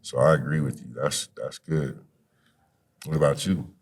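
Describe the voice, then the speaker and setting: A man's voice: rumbling low, fairly even intonation, moderate pace. A man speaking as a podcast guest and youth activist in a conversational, motivational way, encouraging and often saying "you know".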